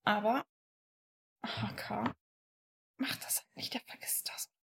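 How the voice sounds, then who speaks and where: A young woman co-hosting a casual podcast chat, loud and laughing, with frequent swearing. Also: mid-range voice, steady tone, takes it slowly, gravelly, whispered. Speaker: podcast co-host.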